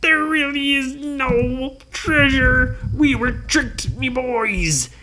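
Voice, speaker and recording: A woman voicing a gruff, loud male pirate captain who growls his words in a bedtime story. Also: sits high, very slow, slight background noise, voice pushed up, full character voice. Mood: grumbling.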